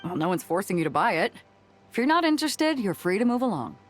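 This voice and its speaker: A breezy, confident ship captain from a video game, played by a woman. She talks informally and teasingly in a medium-pitched voice with lively swings, over music. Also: fast, close to an everyday voice. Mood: matter-of-fact. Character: breezy, confident young female battleship captain hawking weapons. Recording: music behind the voice.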